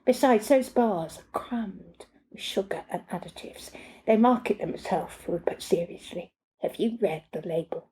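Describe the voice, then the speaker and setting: A haughty lady of the house, as a female author reads her in a short story. She is cold and fussy, with clipped, condescending phrasing. The voice stays mellow and at an ordinary female pitch. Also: measured pace, slightly rough, rough recording. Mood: cold, disdainful.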